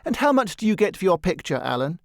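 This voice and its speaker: A man reading a storybook plays a good-natured, naive young gentleman with a light, earnest manner. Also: high voice, big pitch swings, fast, vocal fry, dry recording, clean studio sound, full character voice. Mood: curious.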